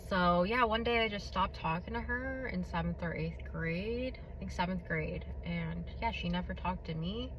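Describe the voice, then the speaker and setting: A woman hosting her own podcast who tells a personal testimony in a blunt, candid way, with a booming voice and many fillers. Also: normal speed, few pauses, a bit bright, slight background noise, rough recording. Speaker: podcast host. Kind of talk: personal testimony, casual monologue.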